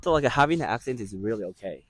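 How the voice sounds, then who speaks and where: A man with a Japanese accent, a native Japanese speaker, running a casual street interview. He fills the pauses with quick "mm-hmm" and "okay" sounds. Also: mid-range voice, expressive.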